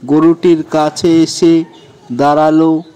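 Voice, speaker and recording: Male children's storyteller, slightly high pitch, very unhurried scripted narration. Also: level tone, dark tone, clear, resonant tone.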